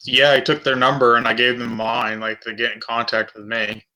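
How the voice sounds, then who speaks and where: Man, flat monotone, bright tone, mid pitch, casual tabletop player chat. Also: moderate pace, low-quality recording. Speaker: player in a tabletop role-playing game. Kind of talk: casual game discussion.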